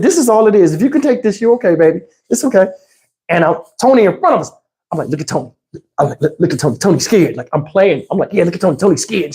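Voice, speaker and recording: Male storyteller, middle-aged, loose and slangy vlog delivery, emphatic and unfiltered. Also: sits high. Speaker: storytelling YouTube host. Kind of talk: casual animated storytelling.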